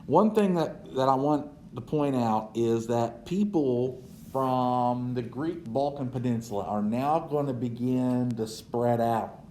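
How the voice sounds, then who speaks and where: A man giving an unhurried history lecture as a teacher, checking in with "right?" as he goes. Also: mid-range voice, darkish tone, reverberant, slight background noise. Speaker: social studies teacher.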